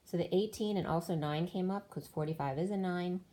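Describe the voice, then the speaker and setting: A woman's voice: on the low side, a little fast, mellow, low-quality recording. A woman giving a solo spiritual tarot reading, explaining cards and numbers one by one while losing her voice. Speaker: tarot reader.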